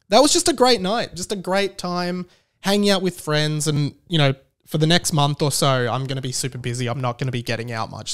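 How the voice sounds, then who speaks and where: An Australian man hosting a casual solo podcast, chatting in a rambling, self-interrupting way with emphatic repetition. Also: on the high side. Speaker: podcast host.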